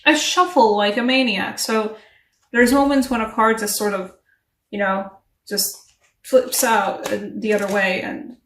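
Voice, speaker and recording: A woman giving a casual tarot reading to camera, chatty and animated, English not her first language, laughing at herself between rambling asides. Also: medium pitch, measured pace, far from the mic, a little hiss or hum. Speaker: tarot reader. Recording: echoey room, voice a bit off-mic.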